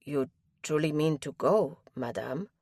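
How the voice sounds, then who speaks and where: Woman voicing a hushed, timid young maid. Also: sits low, a little slow, slightly rough, dry recording, studio quality, full character voice. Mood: fearful. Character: fearful young enslaved maid, devoted. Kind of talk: audiobook character voice.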